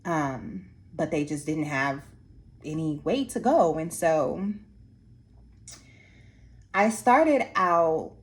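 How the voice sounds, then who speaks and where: A woman who trains art teachers, losing her voice and sounding hoarse, talking slowly with many restarts. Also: deep voice, lively intonation. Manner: slow, halting, many restarts and fillers. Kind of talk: reflective casual monologue to viewers. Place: Anchorage area after workshops.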